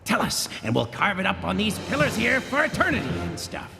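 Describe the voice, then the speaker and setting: Gravelly, brash old jungle king. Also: high voice, very animated, brisk, over music, exaggerated voice. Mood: proud, chatty. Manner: slangy, boastful, blunt. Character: brash folksy old jungle king spirit, showy guide.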